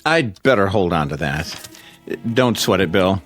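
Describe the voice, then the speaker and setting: An easygoing friend covering his worry in a radio drama. The voice is low and animated, offhand and placating, over faint music. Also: unhurried. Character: easygoing male friend, smoothing over tension while hiding worry.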